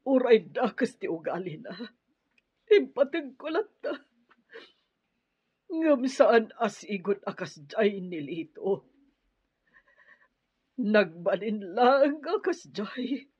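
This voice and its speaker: A woman's voice: medium pitch, a little mellow, slightly rough, dry recording. A Filipino woman acting in a radio drama as a grieving mother and widow. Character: grieving mother and new widow of a wicked man. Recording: dull, lo-fi radio drama audio.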